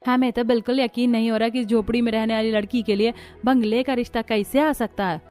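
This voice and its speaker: A woman's voice: a little high, steady tone, fast, a little mellow, lightly acted. A woman playing a gossiping, judgmental neighbour in a moral story. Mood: baffled, envious. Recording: music under the voice.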